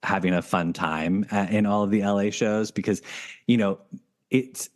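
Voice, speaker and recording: Male podcast host, very deep voice, casual, rambling, many restarts. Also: fairly even intonation, normal speed. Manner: rambling, full of fillers and restarts.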